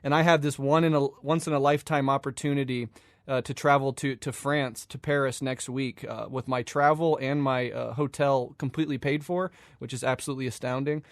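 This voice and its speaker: A man's voice: mid-range voice, fairly even intonation, a little fast, no room sound, studio quality. A man with an American accent hosting a podcast interview, chatty and eager, laughing easily between his questions. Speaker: podcast host. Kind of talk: casual interview chat.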